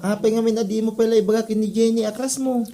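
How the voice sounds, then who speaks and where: Woman acting very mellow, gossipy classmate. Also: fairly high pitch, steady tone, fast talker, natural voice. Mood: chiding. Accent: Ilocano. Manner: chiding, gossipy. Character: disapproving, gossipy classmate of the troublemaker. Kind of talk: comedy drama acting.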